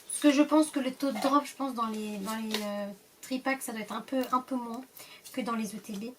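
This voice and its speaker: A woman's voice: fairly high pitch, fairly even intonation, normal speed, distant mic, background noise. Stuffy-voiced woman chatting over card packs. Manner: chatty, hesitant, trailing off and reading card names aloud. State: stuffy from a cold. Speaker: Pokémon card collector opening packs. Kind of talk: casual pack-opening commentary.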